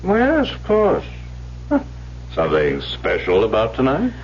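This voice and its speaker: An actor playing a courteous, kindly psychiatrist in an old radio drama, with a polite, formal and gently teasing manner. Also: male voice, on the low side, wildly swooping pitch, normal speed. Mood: mild, obliging. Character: courteous, kindly male doctor, a psychiatrist employer. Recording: dull, hissy vintage radio recording with hum.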